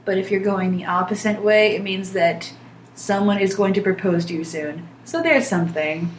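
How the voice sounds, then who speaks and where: A woman's voice: medium pitch, moderate pace. A young woman in casual podcast chat, rambling in an offbeat, dryly joking way. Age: young adult. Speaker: podcast co-host or guest. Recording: roomy echo, faint background noise.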